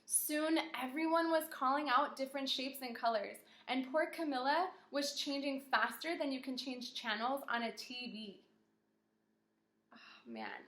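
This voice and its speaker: Female kindergarten teacher, expressive read-aloud with encouraging questions, higher-pitched and bright, roomy echo. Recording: echoey room.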